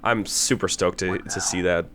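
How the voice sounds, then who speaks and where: A young man hosting a casual commentary chat, eager and quick to repeat his words as he starts sentences. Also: sits low, steady tone, a bit bright. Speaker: podcast host and voice actor.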